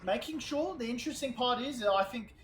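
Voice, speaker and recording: A man casting an esports match play by play, excitable and building to shouts at big plays, his voice going hoarse. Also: extremely high pitch, a little fast, roomy sound, a little hiss or hum. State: losing his voice. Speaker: esports caster.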